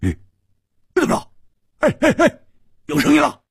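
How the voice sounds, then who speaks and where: A man voicing a brash, excitable young male swordsman in an audiobook, stammering and teasing. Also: deep voice, measured pace, slightly rough, no echo, studio quality, full character voice. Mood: excited. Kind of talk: audiobook storytelling.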